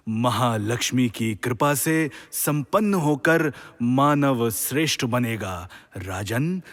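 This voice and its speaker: Benevolent ascetic sage, played by a man, medium pitch, very measured solemn delivery, epic radio play, long reverb. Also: a bit bright. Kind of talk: formal Hindi mythological audio drama acting.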